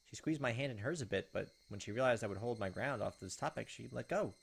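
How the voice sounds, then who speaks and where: A man's voice: mid-range voice, animated, fast talker, vocal fry, husky. A man hosting a game playthrough, switching between casual commentary and reading story text aloud. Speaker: gaming video host.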